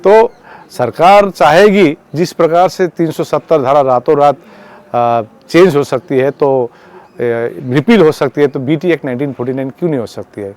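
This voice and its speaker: Higher-pitched man, halting activist statement. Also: darkish tone. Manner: halting, repetitive delivery with English words mixed in. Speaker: protest organiser and activist. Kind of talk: spoken public statement on a campaign.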